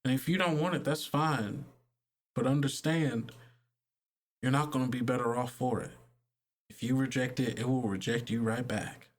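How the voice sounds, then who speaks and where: A man voicing a gentle, persuasive woman in a tabletop game, speaking in a folksy, halting, consoling way. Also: medium pitch, fairly even intonation, close to an everyday voice. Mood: earnest, persuasive.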